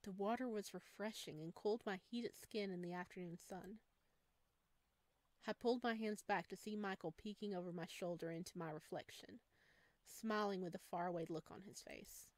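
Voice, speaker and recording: A woman narrates a visual novel for a gaming video. Her pitch sits in the middle and her reading is expressive. The close microphone gives a dry sound with little room. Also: moderate pace, dry recording.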